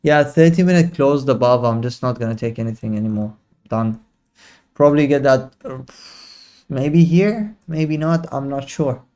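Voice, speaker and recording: A man's voice: mid-range voice, animated, normal speed, a little mellow. Slangy young man, trading stream. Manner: slangy, chatty, teasing viewers. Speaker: livestream trading host. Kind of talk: casual live commentary to chat.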